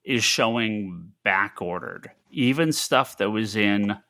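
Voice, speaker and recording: A man with a lowish, bright and forceful voice, talking as a podcast co-host. He thinks aloud in a halting way, repeating words for emphasis, in a casual news-chat style. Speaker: podcast co-host who runs a comics store.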